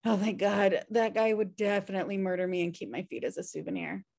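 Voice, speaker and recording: A woman's voice: medium pitch, fast, vocal fry, a little mellow. An American woman reading the part of a flippant, irresponsible twin sister in a sitcom script. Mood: dramatic, flippant. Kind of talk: sitcom script table read. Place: indoor room, on a video call. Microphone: video call microphone.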